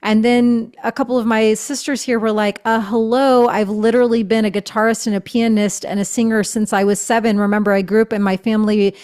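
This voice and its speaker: A woman's voice: a little high, flat delivery, brisk, hardly any pauses, darkish tone. Middle-aged woman guest, gushy spiritual talk, lots of "you know" and "um", easygoing podcast chat. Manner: gushing, spiritual phrasing, many fillers. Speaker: podcast guest, shaman and author. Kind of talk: casual interview chat.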